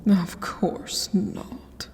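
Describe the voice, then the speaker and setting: A woman voicing a regal, haughty, ageless vampire queen in a fantasy ASMR roleplay, speaking formally and imperiously in a breathy half-whisper. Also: drawn-out words, dark tone. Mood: haughty, cool. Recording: long cavernous reverb.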